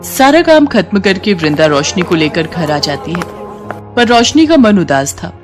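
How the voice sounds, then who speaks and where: A woman's voice: lowish voice, big pitch swings, normal speed, soft background music. A woman storyteller reading scripted narration for a children's moral tale.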